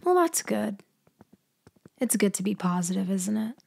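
Woman acting as slow, creaky-voiced female mafia schemer. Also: mid-range voice, animated, mellow, exaggerated voice. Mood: feigned helplessness. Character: cunning female mafia leader feigning a helpless stranded motorist. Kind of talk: ASMR roleplay acting.

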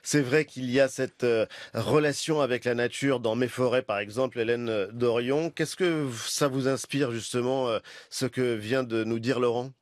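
A man in his forties hosts a studio radio show and moderates in a relaxed way, with a few hesitations. His voice is close and dry on the studio mic. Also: medium pitch, moderate pace, few pauses, no echo. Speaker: radio host. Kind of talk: radio interview. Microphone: studio microphone with headphones.

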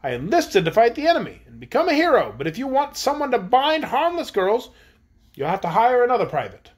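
A woman's voice: fairly high pitch, huge pitch swings, brisk, slightly rough, voice pushed up, heavily acted. A woman voicing a courteous but stubborn young male army private in a storybook, firm and matter-of-fact. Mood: defiant. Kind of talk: storybook character voice.

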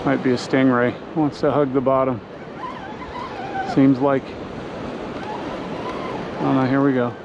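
Male fishing vlogger, gravelly voice, laid-back self-talk, muffled camera mic with loud wind and surf. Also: mid-range voice, measured pace, pauses often. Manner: relaxed, talking to himself while fishing. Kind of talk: casual first-person commentary. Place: outdoors on an ocean fishing pier. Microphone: action camera worn on the body.

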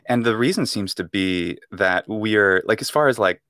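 A man's voice: on the low side, moderate pace, a bit bright, no echo, clean studio sound. Thoughtful American man on a video call. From United States. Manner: thoughtful, explains at length with fillers and restarts. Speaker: guest, Latin speaker and teacher. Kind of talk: casual interview over video call. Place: room at home. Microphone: earphone mic on video call.

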